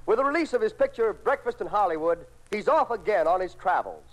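Showy high-pitched American 1940s radio announcer, male. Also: lively intonation, a little fast. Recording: noisy 1940s radio broadcast.

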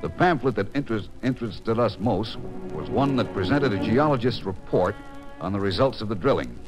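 A man's voice: fairly low pitch, animated, brisk, music in the background, lightly acted, rough recording. An American man playing a sober federal investigator, narrating a radio crime drama in a formal, matter-of-fact way. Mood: sober, official. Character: sober, official male FBI investigator narrating case. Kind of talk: scripted 1940s-50s radio crime narration.

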